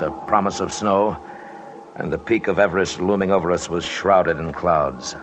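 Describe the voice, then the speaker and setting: A low, deep male voice on a noisy vintage radio recording. An actor plays a decisive expedition leader in an adventure drama, speaking in a measured, matter-of-fact, commanding way. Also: natural voice. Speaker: narrator and lead actor. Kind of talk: old-time radio adventure drama acting and narration.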